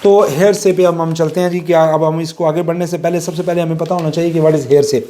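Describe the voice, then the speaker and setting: A middle-aged man with a Pakistani accent and a soft, mellow tone, pitched a little high. He lectures informally, explaining step by step in a conversational way and slipping English legal terms into his speech. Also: steady tone, brisk, slight background noise. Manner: explanatory, conversational, illustrating with everyday examples. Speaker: law lecturer.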